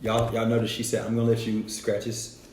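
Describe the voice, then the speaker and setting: A man's voice: lowish voice, completely flat delivery, a little fast, a little mellow. A man guest-hosting a podcast in a casual, playful way, often speaking to his listeners as y'all. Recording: echoey room with faint noise.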